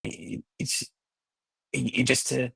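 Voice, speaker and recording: A Ukrainian man in his thirties, a guest in a remote interview, speaking haltingly with many fillers and restarts. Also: a bit bright, no echo.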